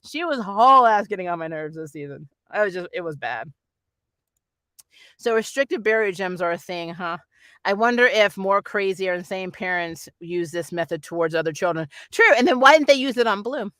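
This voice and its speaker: Bright, animated reviewer in casual fan chat. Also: mid-range voice, normal speed, dry recording. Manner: animated, blunt, slangy, laughs often. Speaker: review host reading viewer comments.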